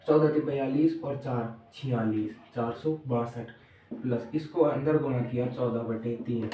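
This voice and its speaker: A man's voice: medium pitch, dark tone. A male maths teacher explaining a lesson step by step, repeating each step and asking the children questions. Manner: addresses the pupils as children. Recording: echoey room, voice far from the mic.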